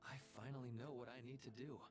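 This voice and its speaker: An earnest counselor and researcher, acted by a man, speaks in formal, courteous game dialogue. His delivery is measured and sincere, set over background music. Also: mid-range voice, steady tone, close to an everyday voice. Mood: sincere confession. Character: earnest, polite adult male counselor and researcher. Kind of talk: scripted video game dialogue.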